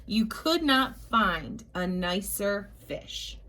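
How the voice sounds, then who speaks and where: Slow-paced woman reading a children's storybook. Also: medium pitch. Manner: expressive, drawn-out emphasis with chatty asides to young listeners. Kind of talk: storybook read-aloud for young children.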